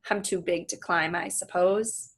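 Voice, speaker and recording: Expressive woman reading a children's story, husky voice. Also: lowish voice, unhurried. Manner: animated, acts out the dialogue. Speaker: reader reading a children's book aloud. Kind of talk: expressive read-aloud narration with asides to listeners.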